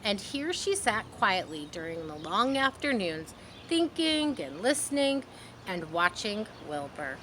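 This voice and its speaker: A woman reading a children's story aloud, pausing to explain things simply as if to young listeners. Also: mid-range voice, very animated, background noise. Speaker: storybook reader.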